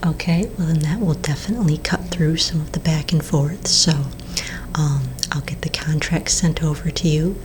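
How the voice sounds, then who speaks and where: Low whispering vampire saleswoman, played by a woman. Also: a little hiss or hum, natural voice, rough recording. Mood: helpful, businesslike. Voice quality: soft, breathy, nearly all whispered. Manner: unhurried, hesitant, reassuring saleswoman patter. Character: gracious undead vampire woman selling coffins. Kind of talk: soft-spoken ASMR roleplay.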